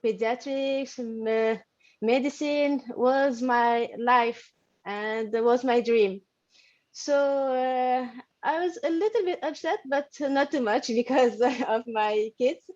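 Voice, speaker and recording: A middle-aged pediatrician from Algeria, speaking English with a French accent over a video call, halting with many fillers. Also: female voice, high voice, a little mellow. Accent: French-influenced English. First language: not English; studied in French. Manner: halting, many fillers and restarts, laughs often. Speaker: pediatrician and course student. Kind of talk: webinar interview. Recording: dull lo-fi call audio.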